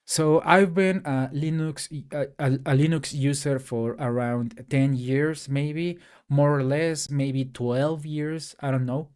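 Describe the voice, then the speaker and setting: A man's voice: fairly high pitch, fairly even intonation, takes it slowly, darkish tone, clean tone. A man from Mexico, a native Spanish speaker with a Mexican accent, giving a casual, hesitant solo rant to camera with many fillers and restarts. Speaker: tech YouTuber.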